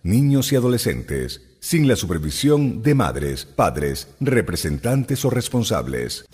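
A man announcing the opening of a radio news program from a script, with a lively announcing cadence. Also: on the low side, slow pace, husky. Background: music.

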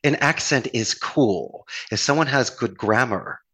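A man's voice: medium pitch, measured pace, breathy edge. A man with a Philadelphia accent giving friendly, rambling advice in a casual chat. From Philadelphia area, United States. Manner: animated, folksy, encouraging, rambling with restarts.

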